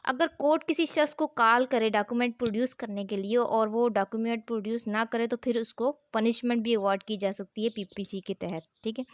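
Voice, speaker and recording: A woman's voice: fairly high pitch, fairly even intonation, a little fast, few pauses, dry recording, clean studio sound. A woman lecturing in a didactic way, explaining and reading aloud as a teacher. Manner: didactic, poses questions and answers them herself. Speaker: law lecturer.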